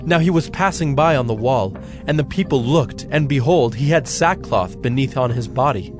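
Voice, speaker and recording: A man narrating a dramatized scripture reading in a measured, solemn manner. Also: medium pitch, animated. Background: soft background music.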